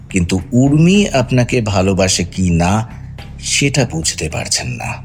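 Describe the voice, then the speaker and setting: A sly interrogating detective in a suspense audio drama. He is pointed and theatrically insinuating, with music running beneath his voice. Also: medium pitch. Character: sly, probing male detective questioning suspects. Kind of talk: Bengali audio drama acting.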